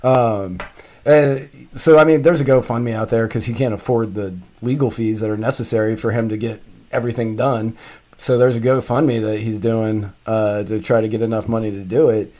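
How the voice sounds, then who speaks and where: A man co-hosting a talk radio show, recounting a friend's situation in a relaxed, chatty way with lots of fillers. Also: fairly low pitch, moderate pace. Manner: casual storyteller, frequent fillers like "like" and "um". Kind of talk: casual political talk radio.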